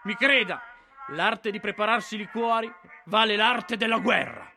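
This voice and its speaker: An actor playing a drunken, bitterly philosophical army officer, declaiming emphatically in a radio drama. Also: male voice, high voice, moderate pace, vocal fry, bright, forceful tone, heavily acted. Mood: wry, emphatic. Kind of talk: theatrical radio drama monologue.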